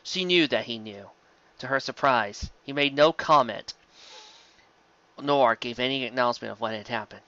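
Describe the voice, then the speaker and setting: A man's voice: medium pitch, moderate pace, bright, forceful tone, muffled recording. A man reading a fantasy story aloud as a narrator, in a dramatic scripted style. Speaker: audiobook narrator of fan fiction.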